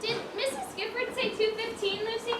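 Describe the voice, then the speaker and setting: A woman's voice: very high voice, steady tone, moderate pace, music in the background. A woman on stage playing a prim, wistful widowed dress-shop owner, full of old-fashioned exclamations. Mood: busy, fretful. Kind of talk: amateur stage acting, one-act school play. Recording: roomy stage, distant mic, clear noise.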